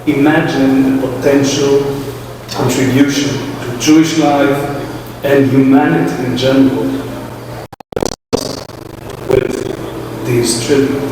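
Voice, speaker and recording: Man, formal speech, flat and even delivery, distant mic in a big echoing hall with room noise. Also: medium pitch. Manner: measured and solemn, reads long lists of names. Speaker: ambassador giving a speech. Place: large reverberant museum hall. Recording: echoey hall, voice from far off.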